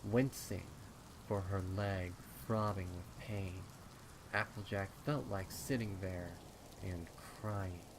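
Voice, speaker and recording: A man reading a horror story aloud as a narrator, in a grave, deliberate, suspenseful manner. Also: low voice, steady tone. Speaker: fan fiction narrator. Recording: rain noise behind the voice.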